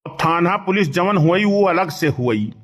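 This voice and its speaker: A man voices an excitable village uncle from a comic cartoon. His male voice sits fairly high and carries an Awadhi accent, with the manner of someone easily fooled. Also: measured pace, heavily acted. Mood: suspicious, worried.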